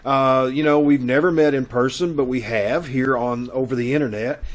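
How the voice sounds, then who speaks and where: A man with a folksy, chatty way of talking hosts a show on a video call. He speaks at a middle pitch in a casual, welcoming host's style, making announcements. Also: steady tone, normal speed. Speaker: host.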